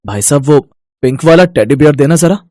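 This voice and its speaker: An actor playing an eager, respectful young manager and dutiful son in a family storybook tale. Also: male voice, on the high side, lively intonation, a little fast, darkish tone, dry recording, clean studio sound, lightly acted. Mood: pleased.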